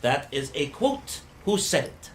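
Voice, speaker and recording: Pompous professor, swooping pitch. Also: male voice, big pitch swings, measured pace, slight background noise. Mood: scornful. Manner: pompous, imperious. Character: exacting, haughty male history professor, scornful of students. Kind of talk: radio drama acting.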